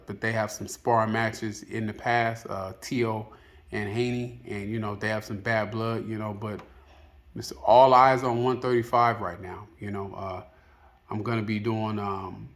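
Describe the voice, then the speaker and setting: A man's voice: lowish voice, fairly even intonation, a little slow. A man giving casual, unscripted opinion commentary as a video host, rambling and hesitant, often padding with filler phrases. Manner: hesitant, rambling, peppered with "you know".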